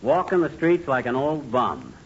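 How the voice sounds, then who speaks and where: An actor playing a forgetful, boastful middle-aged husband with a folksy, blustering manner in a 1940s radio comedy. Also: male voice, medium pitch, huge pitch swings, normal speed. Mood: jovial. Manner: folksy, blustering, muttering to himself. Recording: dull, noisy 1940s radio recording.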